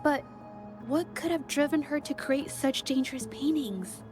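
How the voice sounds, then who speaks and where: An actress voicing a gentle, earnest young woman painter in a video game, speaking thoughtfully and politely. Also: sits high, measured pace, over music. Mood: thoughtful, puzzled. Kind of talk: English video game voice acting.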